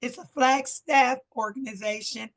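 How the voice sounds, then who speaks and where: An older woman from Missouri, a bit hoarse, giving an earnest talk at a community meeting as a chapter president. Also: on the high side, fairly even intonation, a little slow. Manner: earnest, explanatory, short phrases with fillers. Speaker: guest speaker, local civil rights chapter president.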